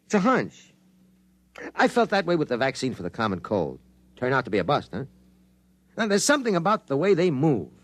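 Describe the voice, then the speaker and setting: Earnest man, animated 1950s radio voice. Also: mid-range voice, brisk, long pauses. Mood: uneasy. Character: earnest, suspicious male narrator and project colleague. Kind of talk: 1950s radio drama acting with first-person narration.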